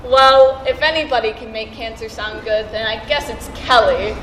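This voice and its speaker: Through heavy room echo and clear background noise comes a young woman acting a candid, steady teenage girl in a school play. Her voice sits a little high, with plain, earnest stage delivery. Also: moderate pace, natural voice. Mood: lightly wry. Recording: echoey noisy school stage recording.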